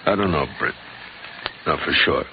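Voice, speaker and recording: An actor playing a weary, stubborn middle-aged frontier sheriff with a terse, rustic American way of talking, in a 1950s radio western. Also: male voice, extremely low pitch, moderate pace. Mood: anguished, resigned. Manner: rustic, terse, heavy-hearted. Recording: muffled, noisy 1950s radio recording.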